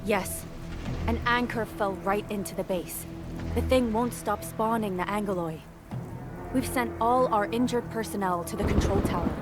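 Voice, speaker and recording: A woman voicing a dutiful base director in a video game, polite, formal and expressive, with music behind her. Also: medium pitch, close to an everyday voice. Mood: grave, relieved.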